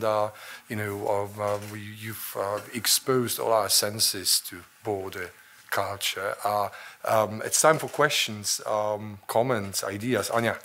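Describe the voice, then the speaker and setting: A lowish man who chairs a university seminar. His voice is bright and forceful in tone. He speaks politely, halting often with "uh" and "um". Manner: hesitant, polite, many fillers. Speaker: seminar moderator.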